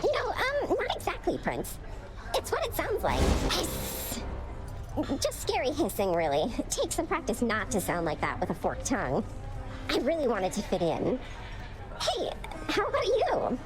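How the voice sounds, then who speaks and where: High perky gorgon girl for a game, awkward n friendly, voice bounces all over. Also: huge pitch swings, a little slow, over music, heavily acted, low-quality recording. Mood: nervous, friendly. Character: timid, chatty, eager-to-please young gorgon monster servant. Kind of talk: animated video game voice acting.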